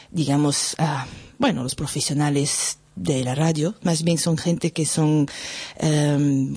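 French-accented woman with a low, husky voice. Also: darkish tone. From France. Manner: rambling, playful, chatty with fillers. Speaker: radio host. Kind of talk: casual radio chat.

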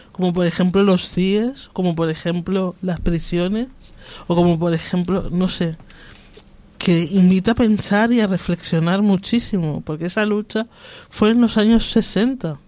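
A man's voice: high voice, a little mellow. A man speaking reflectively in a group discussion, often restarting his sentences. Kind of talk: discussion about psychiatry.

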